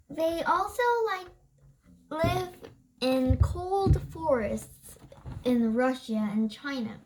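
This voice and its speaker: A young-sounding reader reads a nonfiction book aloud haltingly, stumbling over words and correcting themselves. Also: sits high, fairly bright tone, rough recording.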